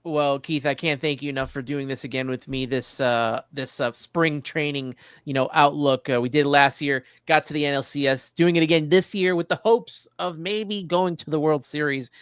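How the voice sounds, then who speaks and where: A man hosting a casual sports interview, speaking conversationally with somewhat halting phrasing. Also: on the high side, steady tone, clear, resonant tone, dry recording, clean studio sound. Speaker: sports show host, interviewer.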